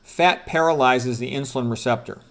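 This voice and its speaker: A man's voice: mid-range voice, normal speed, gravelly, fairly bright tone. A middle-aged or older man, a doctor, reading quotes aloud with informal commentary and repeating key phrases for emphasis. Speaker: doctor presenting quotes from a book.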